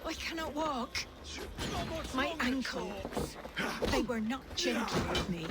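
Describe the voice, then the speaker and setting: A woman's voice: high voice, expressive, takes it slowly, over loud music. An actress playing a plain-spoken, protective, poor middle-aged woman with earthy, archaic phrasing in a dramatic video game. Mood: pained, weary.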